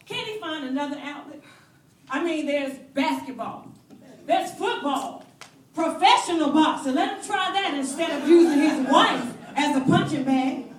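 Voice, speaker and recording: A woman plays a sassy, protective girlfriend in a live stage drama. She is blunt and sardonic, stretching her words slowly for emphasis in a high voice. The sound is distant, ringing around a roomy hall. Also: a little hiss or hum. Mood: indignant. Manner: emphatic, drawn-out, sardonic, preacherly flourishes. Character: sassy, blunt, protective best friend, scolding and incredulous. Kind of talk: live stage play acting. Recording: echoey hall from far off.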